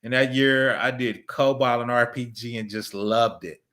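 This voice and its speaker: A man's voice: medium pitch, steady tone, normal speed, a bit bright. A man, chatting casually on a livestream, reading viewer messages, laughing often and rambling through asides. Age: 50s. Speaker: livestream host reading super chats.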